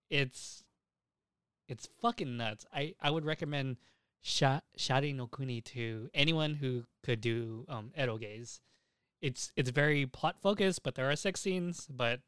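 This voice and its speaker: A man's voice: medium pitch, measured pace, a bit bright, smooth, no echo, studio quality. A young adult man hosting a casual podcast, chatting in an enthusiastic, informal way with plenty of swearing. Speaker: podcast host.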